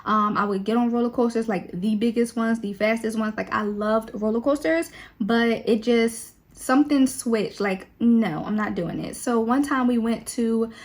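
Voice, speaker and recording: A woman's voice: on the high side, normal speed, few pauses. A woman, chatting casually to the camera about herself while she does her makeup. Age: 20s. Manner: chatty and relaxed, with many fillers. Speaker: beauty vlogger.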